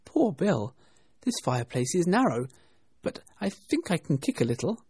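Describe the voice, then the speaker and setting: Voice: mid-range voice, very animated, moderate pace, a little mellow, breathy edge, no echo, pitched above the performer's own voice. A narrator as musing, plucky girl Alice. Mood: musing, curious. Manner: musing to herself.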